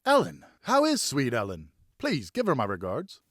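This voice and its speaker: A man's voice: mid-range voice, wildly swooping pitch, normal speed, fairly bright tone, no echo, studio quality. A man voicing a jovial, wily Frenchman who makes cognac, coaxing and exclamatory, in an audiobook. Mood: jovial, boastful. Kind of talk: audiobook character dialogue.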